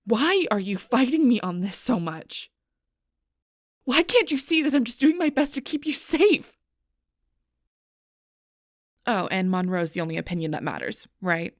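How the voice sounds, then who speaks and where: A woman playing a fierce, protective alpha werewolf in an audio roleplay, bitter and confrontational, with a breathy, rough-edged voice. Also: on the low side, steady tone, brisk, few pauses, no echo, studio quality, natural voice. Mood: angry, exasperated. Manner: intense, confrontational, swearing, often dropping to near-whisper. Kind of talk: audio roleplay acting addressed to the listener.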